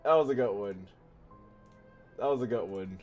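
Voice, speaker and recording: A man's voice: on the low side, moderate pace, darkish tone, faint music behind. A man with an American accent giving casual, slangy, profane and teasing livestream commentary as he plays a game. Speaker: game streamer.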